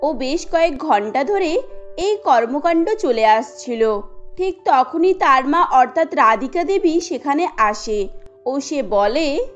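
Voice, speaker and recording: A woman's voice: sits high, lively intonation, slow pace, lo-fi sound, soft background music. A woman narrating a cartoon story as a scripted storyteller.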